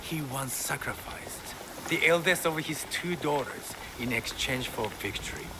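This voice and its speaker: Male actor as grandiose smooth-talking recruiter, medium pitch, theatrical florid phrasing, zealous servant, video game cutscene, noisy ambience. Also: unhurried, natural voice. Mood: zealous, assured.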